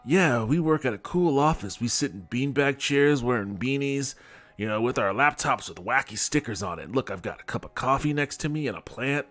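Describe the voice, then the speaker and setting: A man's voice: medium pitch, animated, moderate pace, a bit bright, faint music behind, lightly acted. A man putting on a smug, self-satisfied young tech-bro office worker in a mocking skit. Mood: smug showing off.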